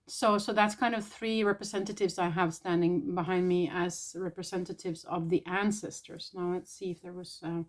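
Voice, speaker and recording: A woman talks through spiritual messages in a relaxed vlog. Her delivery is soft and hesitant, full of pauses, and her throat sounds a bit irritated. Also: lowish voice. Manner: soft, tentative, pausing often. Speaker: spiritual channeler.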